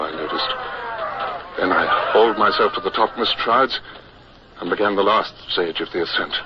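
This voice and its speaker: An actor playing a young British naval officer who recounts his fears in grave, measured, earnest narration for a radio drama. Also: sits low, natural voice. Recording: muffled, noisy old radio recording.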